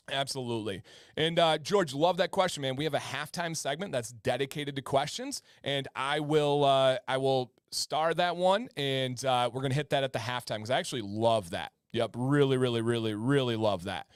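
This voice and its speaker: A man's voice: mid-range voice, clear, resonant tone, dry recording, clean studio sound. A man in his thirties hosting a live-stream chat show, chatty and prone to repeating words and restarting sentences. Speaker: host.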